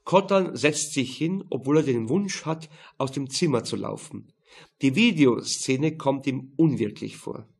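A man's voice: medium pitch, a little slow, vocal fry, husky. A man reading a crime novel aloud as scripted audiobook narration.

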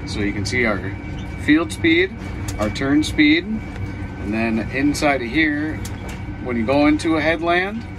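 A man's voice: animated, takes it slowly. A man walking through tractor display features step by step in a casual demo, recorded inside a tractor cab in a field. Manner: plain, step-by-step explaining. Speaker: precision ag specialist demonstrating equipment. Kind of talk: casual hands-on demo narration. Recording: audible engine noise in the cab. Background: tractor engine rumble.

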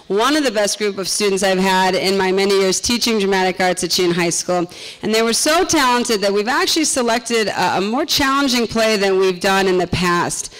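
A woman's voice: medium pitch, fairly bright tone, poor audio. A middle-aged woman, a drama teacher, speaking live to an audience in a school auditorium to introduce a play. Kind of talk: live welcome speech to an audience.

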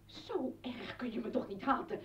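A woman's voice: on the high side, moderate pace, fairly bright tone, breathy edge. An actress playing an anguished, accusing mother confronting her former husband in a radio play, bitter and pleading. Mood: frightened, pleading. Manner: bitter, pleading, breaking into whispers. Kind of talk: Dutch radio play acting. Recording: dull old radio recording.

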